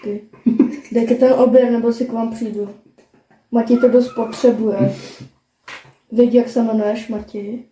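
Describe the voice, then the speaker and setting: Mellow, higher-voiced young woman, roomy echo. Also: little pitch movement, pauses often. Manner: slangy, teasing, blunt, swearing. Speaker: co-commentator. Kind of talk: casual reaction chat. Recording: roomy echo, faint noise and clicks.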